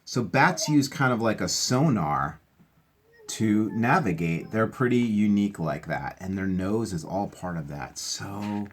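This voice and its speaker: A man with a slightly husky voice reading aloud to children and explaining things simply in a chatty, encouraging teacher's manner. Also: lowish voice, expressive, unhurried. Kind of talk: animated read-aloud with casual explanations.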